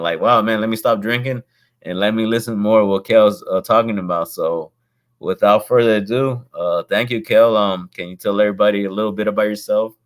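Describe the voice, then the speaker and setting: A man hosts a casual chat in a friendly, rambling way and often drops in slang like "bro." His voice sits fairly low in pitch, and he talks loosely, with lots of restarts. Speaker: host, real estate investor.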